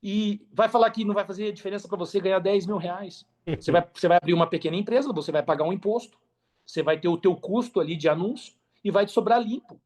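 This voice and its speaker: A man's voice: high voice, quick pace, a little mellow. A Brazilian man speaking casually and earnestly as a podcast guest, repeating phrases for emphasis. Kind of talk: casual interview talk.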